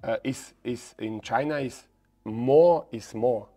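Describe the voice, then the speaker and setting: A middle-aged man, a native Croatian speaker, talking as a guest in English that halts and repeats words, sometimes getting animated when telling a story. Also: mid-range voice, takes it slowly. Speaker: football coach, guest. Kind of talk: casual interview in non-native English. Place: dark studio set with red armchairs. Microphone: lapel mic.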